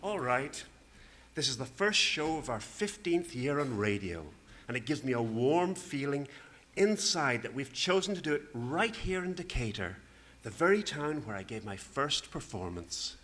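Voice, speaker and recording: A man's voice: mid-range voice, sing-song, moderate pace, fairly bright tone. A man playing an earnest, sentimental, easily flustered ventriloquist straight man in old radio comedy. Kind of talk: 1940s radio comedy acting.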